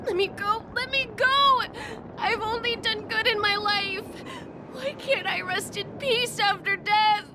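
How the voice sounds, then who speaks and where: A man's voice: measured pace, noisy background. An actor playing a panicked young man who believes he has died, frantic and crying, in a game cutscene. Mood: sobbing. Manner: frantic, shrill, tearful pleading. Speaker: game character. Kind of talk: dubbed game cutscene acting.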